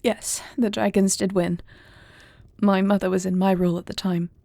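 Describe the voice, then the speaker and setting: A woman acts out a young dragon princess in an intimate audio drama. Her voice is mellow and creaky, held in a narrow, even range. She speaks formally and softly, with the measured gravity of a dutiful, grieving heir. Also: medium pitch, no room sound, studio quality, close to an everyday voice. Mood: somber, subdued. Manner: formal, measured, soft-spoken. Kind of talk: intimate audio roleplay acting.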